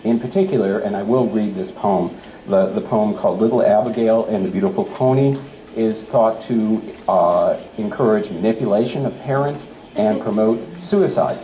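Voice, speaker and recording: A middle-aged man giving a public talk and reading poems aloud, with expressive storytelling. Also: on the low side, normal speed, roomy sound, poor audio. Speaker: speaker reading poems at a library event. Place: campus center event space. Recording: live event with faint room noise. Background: faint ambient noise.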